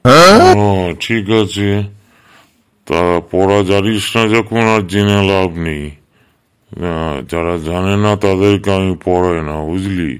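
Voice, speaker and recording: A very low, smooth and resonant male voice, put on by an actor for a cartoon schoolteacher. He is drowsy and drawling, with a grumpy streak as he insists that he is strict. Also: lively intonation, measured pace. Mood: lazy, dismissive. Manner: sleepy, drawling, scolding in bursts. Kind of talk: comedy cartoon dubbing.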